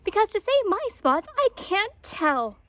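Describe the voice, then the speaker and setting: Casting: a woman as young storybook jaguar, male, petulant and muddled, very high and animated, some background noise. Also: unhurried, no room sound, exaggerated voice. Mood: earnest, self-important.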